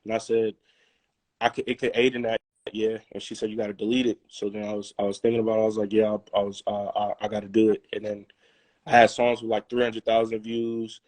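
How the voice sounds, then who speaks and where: A young man, a musician guest in a casual interview, telling his story in a halting way full of restarts and fillers. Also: on the low side, monotone, a little mellow, no echo, low-quality recording.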